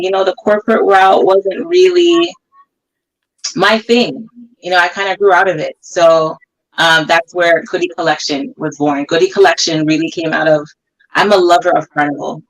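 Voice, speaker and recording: A woman from Canada, a guest business owner, chatting casually about her company with plenty of fillers, heard over a distant remote mic. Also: fairly low pitch. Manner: relaxed and chatty, many fillers. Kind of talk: casual chat.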